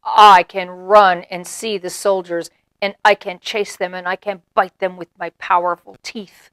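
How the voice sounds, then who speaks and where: A woman's voice: fairly low pitch, flat delivery, unhurried, a bit bright, clean tone, dry recording. A woman voicing a brave, boastful dog in a storybook reading.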